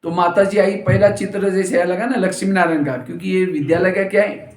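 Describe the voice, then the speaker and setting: A man's voice: sits high, fairly even intonation, brisk. A man giving a spiritual discourse, telling funny stories and acting out what people said. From India. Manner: storytelling with jokes and acted quotes. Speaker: spiritual teacher. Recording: roomy hall echo.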